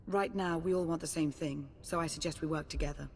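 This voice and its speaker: An actress playing a cool, shrewd, formal intelligence minister in a game cutscene, speaking with measured poise. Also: female voice. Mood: cool, composed. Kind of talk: dramatic game cutscene acting. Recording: long reverb, faint rumbling noise.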